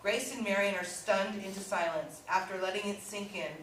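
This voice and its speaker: A woman narrates a script's stage directions in a medium-pitched voice. She sounds far off in a very reverberant hall, with faint noise behind her. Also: fairly even intonation, normal speed, a bit bright. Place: indoor staged reading, recorded from far off. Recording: echoey hall, distant mic, faint noise.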